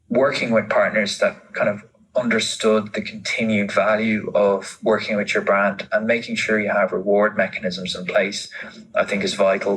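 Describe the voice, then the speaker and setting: Low-voiced man explaining in an interview. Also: a bit bright, poor audio. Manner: halting, repeats words as he thinks aloud. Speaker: interviewee, marketing manager. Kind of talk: informal interview, explaining his field.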